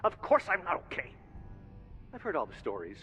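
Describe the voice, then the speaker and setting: Agitated male scientist, swinging wildly in pitch. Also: wildly swooping pitch, normal speed, bright, forceful tone, faint music behind, heavily acted. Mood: panicked, defiant. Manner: agitated, stammering. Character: frightened, defensive male scientist hiding from killer robots. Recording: muffled, echoey room, faint noise.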